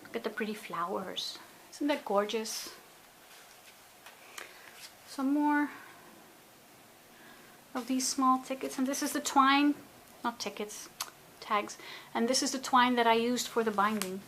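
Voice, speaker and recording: A woman's voice: on the high side, unhurried, long pauses, a bit bright, a little hiss or hum, low-quality recording. A woman casually narrating a craft journal flip-through, chatty and gushing, often talking to herself. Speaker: craft video maker. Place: indoors at a table.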